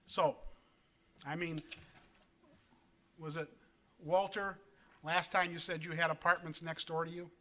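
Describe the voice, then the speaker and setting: A man from Wisconsin speaking at a city plan commission meeting, earnest and halting, with many ums and restarts. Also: on the high side, flat delivery, sharp tone. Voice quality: big voice. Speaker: resident speaking at public comment. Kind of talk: public meeting comment. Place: council chamber.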